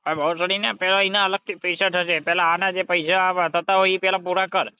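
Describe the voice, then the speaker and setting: A man's voice: high-pitched, flat delivery, a little fast, clean tone, dry recording. A man playing an exasperated, foul-mouthed garage mechanic, scolding impatiently in a scripted comedy phone call. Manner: scolding, impatient, swearing constantly. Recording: dull lo-fi phone call recording.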